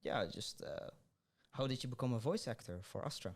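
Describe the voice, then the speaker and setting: A man's voice: medium pitch, expressive, moderate pace, vocal fry. A young man hosting a podcast, upbeat but hesitant, with many restarts. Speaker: podcast host. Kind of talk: casual podcast interview.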